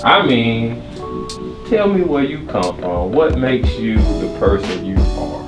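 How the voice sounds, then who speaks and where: A man's voice: mid-range voice, animated, takes it slowly. Earnest man teaching a class, echoey room. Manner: earnest, coaxing, keeps asking "right?". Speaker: poetry workshop mentor. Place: classroom, indoors. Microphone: far camera. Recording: echoey room from far off, with music.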